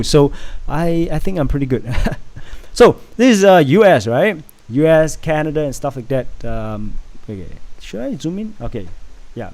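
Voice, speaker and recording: A man from Singapore, chatting casually on a home livestream and reading out chat, halting and full of fillers. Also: medium pitch, darkish tone. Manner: rambling, halting, many fillers and restarts. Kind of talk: casual live stream chat.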